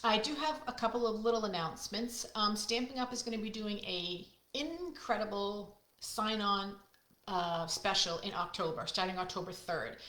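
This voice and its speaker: A middle-aged woman with a loud, projecting voice, chatting casually with viewers as she hosts a live card-making stream. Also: medium pitch, normal speed. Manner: chatty, friendly, talks to viewers by name. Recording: echoey room.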